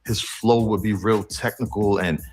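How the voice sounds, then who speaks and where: A man's voice: lowish voice, steady tone, normal speed, darkish tone, a little hiss or hum. A man in a documentary interview, fondly describing an old friend.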